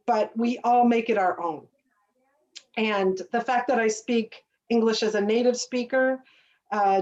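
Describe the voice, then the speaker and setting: An American woman giving a friendly, measured talk to students over a webinar. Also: medium pitch, a little mellow. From United States. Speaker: guest speaker. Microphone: video call. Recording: distant, dull lo-fi webinar audio.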